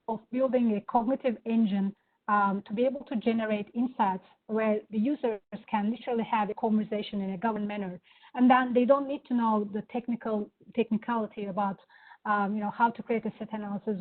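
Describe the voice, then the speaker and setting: Turkish-accented woman demoing software. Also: on the high side. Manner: enthusiastic, many fillers. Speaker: presenter. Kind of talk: live software demo talk. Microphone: video call. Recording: phone-like online meeting audio.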